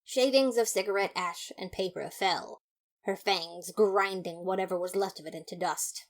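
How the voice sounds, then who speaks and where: A woman's voice: medium pitch, moderate pace. A woman narrating a first-person comic detective story in a dramatic, mock-serious manner. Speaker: fan fiction narrator.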